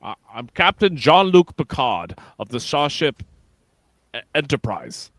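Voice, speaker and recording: Man acting starship captain, earnest, polite, unhurried, hesitant, dry sound, radio play. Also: mid-range voice, very animated, fairly bright tone, no room sound. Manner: deliberate, halting, earnest. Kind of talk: amateur radio-play script read.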